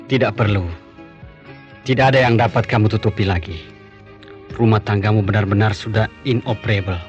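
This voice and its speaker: An actor playing a persistent, teasing hospital colleague and suitor in an Indonesian radio drama, familiar and needling. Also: male voice, lowish voice, steady tone, a little fast, close to an everyday voice. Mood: needling, flirtatious. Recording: dull radio recording, clear music behind.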